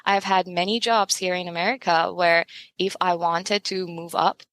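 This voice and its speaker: A young adult woman from Iran, a podcast guest talking in casual interview chat, animated and emphatic, laughing often and asking pointed rhetorical questions. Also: fairly low pitch, normal speed, dry recording.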